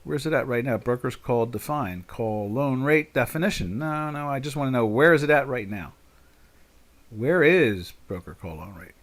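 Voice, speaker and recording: A man's voice: medium pitch, lively intonation, moderate pace. A man in his sixties from South Jersey, with its accent, hosting a live trading chat and thinking aloud in a rambling, chatty way with lots of fillers. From Pinelands of South Jersey. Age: 60s. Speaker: market trader and stream host.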